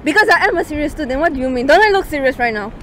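A woman's voice: sits high, animated, racing, fairly bright tone, a little hiss or hum. A woman in her twenties giving casual, playful answers as an interview guest, recorded outdoors on a handheld mic. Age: 20s. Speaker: interview guest, university student. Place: open beach, outdoors. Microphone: small handheld mic held by interviewer.

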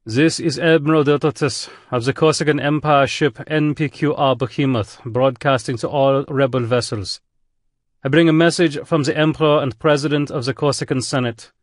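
A man voicing an imperial space admiral with a thick German accent, booming and imperious. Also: steady tone, moderate pace, dry recording, studio quality, natural voice. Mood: threatening.

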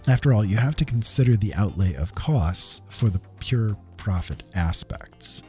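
A man with a fairly low voice narrates a gaming video. He explains things step by step in a semi-scripted voice-over style. Faint music plays behind him. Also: expressive, moderate pace, warm tone. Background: background music.

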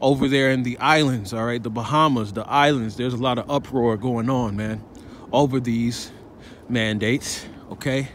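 A man records himself on a phone as he walks along a city street. He talks in a preachy, slangy way, pausing again and again to check in with "all right?" and "man". Faint traffic and street sounds sit behind him. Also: mid-range voice, fairly even intonation, a bit bright. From Chicago, United States. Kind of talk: walking vlog commentary. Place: city sidewalk outdoors, walking. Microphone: phone held while walking. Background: faint traffic and street ambience.

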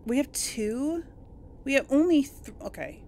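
Animated high-voiced woman playing a video game. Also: unhurried, a little hiss or hum. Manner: expressive, reacting aloud and talking to game characters. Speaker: gamer narrating a role-play let's play. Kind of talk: casual gameplay commentary. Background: game music behind the voice.

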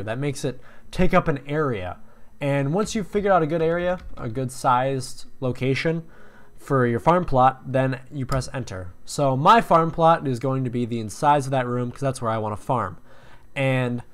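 Casual young man explaining a game. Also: medium pitch. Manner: casual, explanatory, with fillers and restarts. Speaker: game tutorial host. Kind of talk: screen-recorded game tutorial.

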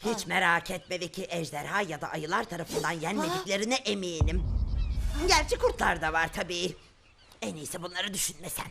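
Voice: mid-range voice, lively intonation, normal speed, vocal fry, noisy background. An actor voicing a nervous, bumbling villager in a cartoon, stammering and flustered. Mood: eager, blundering. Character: nervous, bumbling grown villager who blurts out secrets. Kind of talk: animated cartoon dubbing. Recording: loud music behind.

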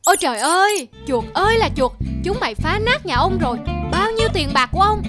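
A woman's voice: very high-pitched, expressive, fairly quick. A woman voicing a greedy, stingy old male rice merchant in a cartoon, gloating and ranting shrilly. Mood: outraged. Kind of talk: cartoon voice acting. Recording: loud cartoon music underneath.

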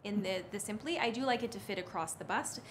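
A woman's voice: mid-range voice, quick pace, a little hiss or hum. A woman, presenting fashion on a live sales stream in a chatty, effusive way. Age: 30s. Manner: animated, effusive. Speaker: presenter and model on a fashion shopping livestream. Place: indoor studio with brick wall, standing back from camera.

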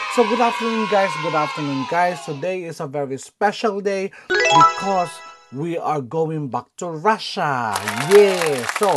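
A man in his thirties with a Filipino accent hosts a casual reaction vlog from his bedroom, gushing with frequent ums and exclamations. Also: high voice. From Philippines. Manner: excitable, gushing, frequent "um" and "oh my God". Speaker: reaction video host. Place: bedroom at home.

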